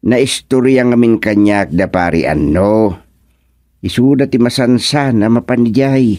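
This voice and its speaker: A man's voice: mid-range voice, measured pace, a little mellow, dry recording, studio quality, close to an everyday voice. An actor playing a stubborn, reassuring older fisherman father with an Ilocano accent in a radio drama comedy. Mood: casual, decided.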